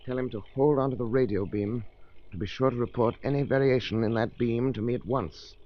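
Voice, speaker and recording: An actor playing a composed, resolute gentleman adventurer, speaking with formal authority in 1930s radio style. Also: medium pitch, fairly even intonation, a little fast, close to an everyday voice. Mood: urgent, watchful. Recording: noisy muffled 1930s radio broadcast.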